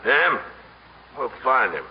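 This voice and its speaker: An actor playing a sarcastic gangster boss who makes tough, curt, mock-friendly threats in a radio comedy. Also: male voice, mid-range voice, very animated, takes it slowly. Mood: curt, menacing. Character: menacing, unhurried gangster boss, coolly sarcastic. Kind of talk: 1950s radio comedy acting. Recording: dull, noisy vintage radio recording.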